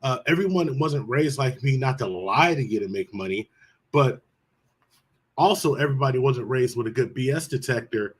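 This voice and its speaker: A man giving earnest commentary as a panelist, measured, stopping and restarting as he thinks. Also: medium pitch, expressive, breathy edge.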